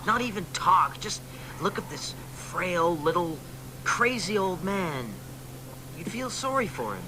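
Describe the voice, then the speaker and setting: Young son, earnest and argumentative, pitch all over, hissy old recording. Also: male voice, sing-song, unhurried. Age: young adult. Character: idealistic young adult son, earnest and defiant. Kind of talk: radio drama acting.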